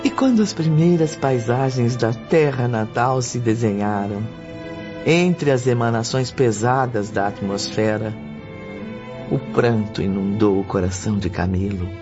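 A man's voice: mid-range voice, natural voice. A man narrating a spiritual radio drama as a solemn, scripted storyteller. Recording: muffled lo-fi recording under music. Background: prominent music.

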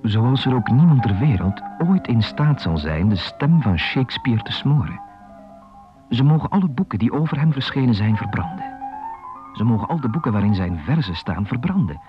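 A man's voice: medium pitch, big pitch swings, normal speed, natural voice. A man acting an impassioned, defiant poet in a declamatory radio play style. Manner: declamatory, dramatic. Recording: muffled 1980s radio recording over music.

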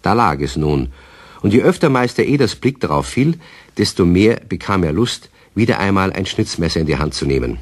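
A man narrating a scripted children's radio play as a calm storyteller. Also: low-pitched, fairly quick. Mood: calm storytelling.

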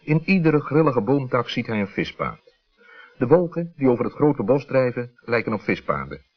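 A man tells a children's story in a husky voice with lively, swooping intonation. He has a Netherlands Dutch accent, and the vintage recording sounds dull. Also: mid-range voice, normal speed. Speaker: storyteller, narrator. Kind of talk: scripted children's story narration. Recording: muffled vintage radio recording.

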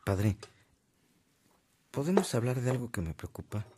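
An actor playing an earnest, respectful young Mexican man, expressive and often soft-spoken, in a radio drama. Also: mid-range voice, measured pace, noisy background. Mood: hesitant, troubled.

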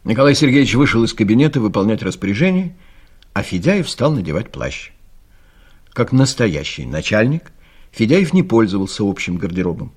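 A man's voice: lowish voice, very animated, normal speed, darkish tone, breathy edge. A man narrating a scripted comic story as the storyteller of an audio play.

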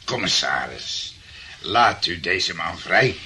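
An actor playing a smooth-talking, pompous private detective colleague in a radio drama, oratorical in manner. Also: male voice, low voice, sing-song, takes it slowly, bright, forceful tone, slight background noise, exaggerated voice. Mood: smooth persuasion. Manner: oratorical, ingratiating.

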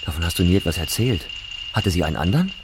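A skeptical, blunt friend with a very low voice. He asks probing questions in this audio drama. Background noise runs clearly under him. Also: sing-song, fast talker. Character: skeptical male friend, a blunt computer expert.

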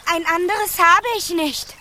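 An actress playing a plucky, stubborn fifteen-year-old princess in a radio play, prim and plain-spoken. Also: female voice, extremely high pitch, fairly even intonation, unhurried, bright, forceful tone, slight background noise, exaggerated voice. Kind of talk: German radio play acting.

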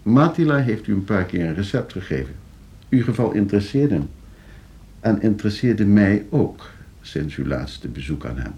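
An actor playing a coolly scheming, suave and condescending nephew in a Dutch radio play. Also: male voice, on the low side, animated, normal speed, mellow, slightly rough. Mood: probing, insinuating. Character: scheming, debt-ridden nephew coolly arranging his uncle's murder.

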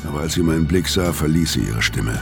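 Deep-voiced grim noir killer narrator. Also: male voice, animated, fast talker, full character voice. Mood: cold, grim. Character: grim hard-boiled male killer narrating his own story. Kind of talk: scripted first-person noir narration in radio drama. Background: clear music.

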